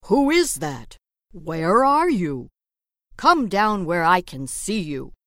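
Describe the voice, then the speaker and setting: Storybook role: blunt male wolf, woman doing it, lower and slow. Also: big pitch swings, no echo, clean studio sound, exaggerated voice. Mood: calling.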